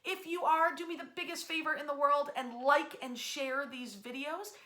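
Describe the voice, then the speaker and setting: A woman with a fairly high, very bright voice hosts a history storytelling series. She speaks in a chatty, emphatic way, stretching key words and pausing for dramatic effect as she addresses her online audience. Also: steady tone.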